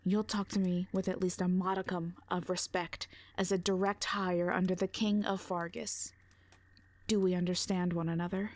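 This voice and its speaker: A woman's voice: fairly low pitch, level tone, moderate pace, natural voice. A woman playing a brash, defiant mercenary in an audio drama, with a blunt, sardonic manner. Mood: sardonic, firm. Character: brash, defiant female mercenary, protective and unimpressed.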